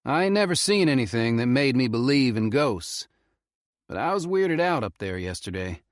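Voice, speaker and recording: A man's voice: mid-range voice, sing-song, normal speed, dry recording, clean studio sound, voice pushed up, natural voice. A man voicing a middle-aged male park ranger with a thick Southern drawl, folksy and casual. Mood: confiding.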